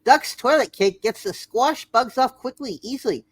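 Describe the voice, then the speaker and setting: A woman's voice: a little high, animated, normal speed, husky, no echo. A woman announcer reading old-time radio sponsor spots in a playful, hammy style.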